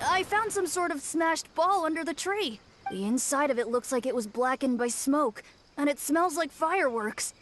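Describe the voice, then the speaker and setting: A woman's voice: high voice, expressive, normal speed, a bit bright, slight background noise. A woman playing a helpful, earnest young companion in a video game, reporting things plainly. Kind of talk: video game dialogue acting. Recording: game music behind the voice. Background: background music.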